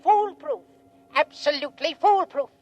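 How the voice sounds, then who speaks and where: A woman's voice: very high voice, animated, a little slow, a little mellow. A woman playing a scheming, smug alien who tells stories theatrically in a vintage radio drama. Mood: gloating. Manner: theatrical, gloating storytelling. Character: scheming smug alien telling of getting rid of mate. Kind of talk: 1950s radio drama acting. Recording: vintage radio recording with music.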